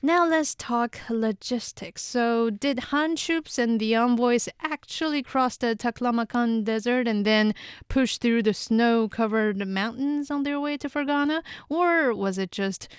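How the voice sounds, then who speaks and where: A woman's voice: on the high side, unhurried, clean tone, no echo, clean studio sound. A woman hosting a casual podcast history quiz, reading out questions and explaining the answers in a chatty way.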